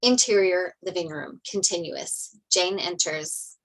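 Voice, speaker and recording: A woman narrates a screenplay, reading out the stage directions. Her voice is a little low in pitch, in a table-read style. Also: animated, a little slow, vocal fry, low-quality recording.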